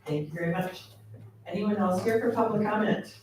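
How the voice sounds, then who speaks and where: A man chairing a council meeting, brisk and procedural as he calls for public comment. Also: high-pitched, a little mellow, slight background noise. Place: city council chamber. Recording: echoey council chamber, distant mic.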